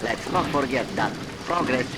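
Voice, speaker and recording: An actor playing the herald of a totalitarian party, making formal, official propaganda announcements in an audio drama. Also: male voice, medium pitch, expressive, moderate pace, heavy background noise, lightly acted. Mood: official, propagandizing.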